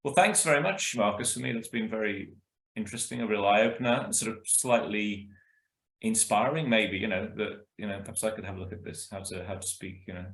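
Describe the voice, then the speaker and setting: Low-voiced male host in an echoey room. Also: fairly quick. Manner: hesitant, trails off quietly. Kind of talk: casual interview.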